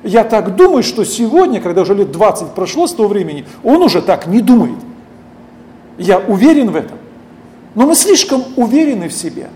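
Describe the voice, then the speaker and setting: A middle-aged man preaching a sermon, reading scripture slowly and then explaining it, often turning to his listeners as friends and asking them questions. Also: high-pitched, big pitch swings, mellow. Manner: deliberate, keeps addressing listeners as friends, asks rhetorical questions.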